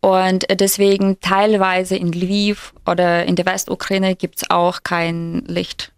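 A woman in her twenties hosting a casual podcast, explaining things in a measured way with many hesitations. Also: on the low side, little pitch movement, clean tone, no echo, clean studio sound. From Ukraine. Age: 20s. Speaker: podcast host.

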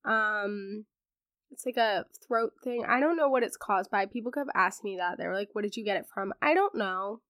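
A woman's voice: medium pitch, moderate pace, a bit bright, no echo, studio quality. A teenage girl hosting a podcast, sounding congested as she recovers from laryngitis, chatting casually with a sarcastic streak and lots of fillers. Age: teens. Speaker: podcast host. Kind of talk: casual chat.

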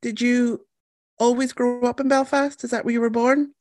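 A woman hosting an interview, asking unhurried questions and reacting often with "wow" and "yeah". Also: a little high, level tone, darkish tone, no echo, studio quality. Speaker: podcast host. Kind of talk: interview chat.

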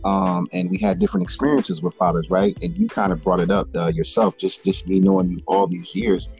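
A man hosting a casual podcast chat, animated and jokey, full of fillers and restarts. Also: deep voice, fairly quick, vocal fry, faint music behind. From Richmond, California. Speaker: podcast host.

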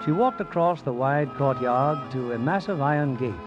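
A man announcing and narrating a scripted 1940s radio drama in a measured, formal manner. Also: mid-range voice, lively intonation. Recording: dull old radio recording with music.

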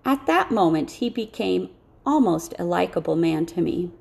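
A woman reading scripted first-person story narration as an audiobook narrator, expressive and careful. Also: fairly low pitch, normal speed, vocal fry.

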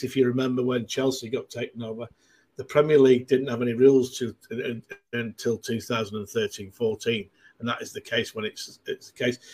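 Husky Mancunian man in his sixties. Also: medium pitch. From Manchester, England. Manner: rambling, with many fillers and restarts. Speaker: guest. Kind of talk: casual podcast chat.

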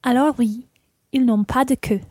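Mellow-voiced woman acting a cat owner. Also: on the high side, steady tone, moderate pace, no echo, lightly acted. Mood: chatty. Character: Christina, friendly cat owner chatting over dinner. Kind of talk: scripted language-lesson radio play.

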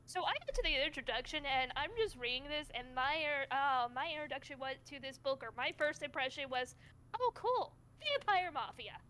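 A woman's voice: high voice, expressive, moderate pace, bright, forceful tone. A young woman co-hosting a podcast, chatting casually with a jokey, scattered manner and plenty of fillers. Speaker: podcast co-host. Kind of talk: casual chat.

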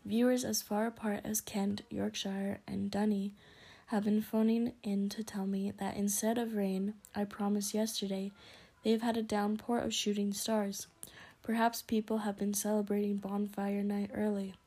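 Woman reading an easygoing TV weatherman, jokey, whispered and breathy. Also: medium pitch, level tone, normal speed, mellow. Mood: breezy. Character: chatty male TV weatherman.

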